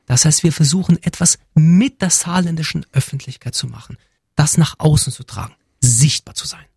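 A man's voice: a little high, normal speed, vocal fry, darkish tone, breathy edge, dry recording, clean studio sound. A man who grew up in Germany, answering interview questions earnestly and often speaking in lists. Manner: earnest, list-like phrasing. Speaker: guest, community representative.

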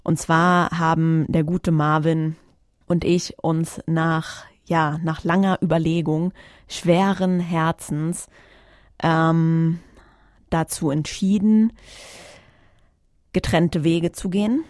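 A woman's voice: sits low, fairly even intonation, slow, a little mellow, no echo. A woman hosting a podcast alone, chatting informally in a studio and often searching for her words. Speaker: podcast host. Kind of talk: casual solo podcast announcement.

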